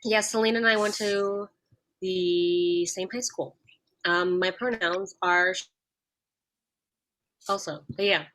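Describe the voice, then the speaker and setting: A woman's voice: mid-range voice, takes it slowly, long pauses, fairly bright tone. A young woman, an actor, chatting loosely on a video-call panel. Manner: chatty, rambling. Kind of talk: casual chat.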